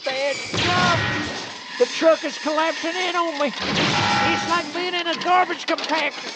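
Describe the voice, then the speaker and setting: An actor playing an excitable, teasing country bumpkin with a Southern accent and a folksy, stammering way of talking in a comic radio drama. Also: male voice, very high voice, unhurried, loud music behind the voice. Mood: straining, panicked. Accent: rural American South.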